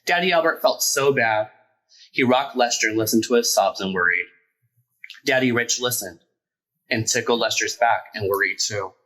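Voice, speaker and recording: A man reading a children's picture book aloud as a storyteller, expressive and emphatic. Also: mid-range voice, moderate pace, poor audio.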